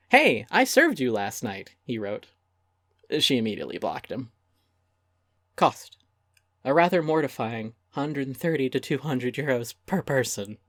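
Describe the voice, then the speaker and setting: A man's voice: mid-range voice, dry recording. Theatrical man giving a close, dry dramatic reading. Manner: theatrical, emphatic, with deliberate pauses. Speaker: reader and channel narrator. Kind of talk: dramatic reading of written articles.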